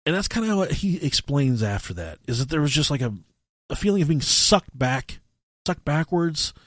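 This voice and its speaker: A man co-hosting a podcast, telling stories casually and comically in a profane, halting way. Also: fairly high pitch, darkish tone, no room sound, studio quality. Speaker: podcast co-host.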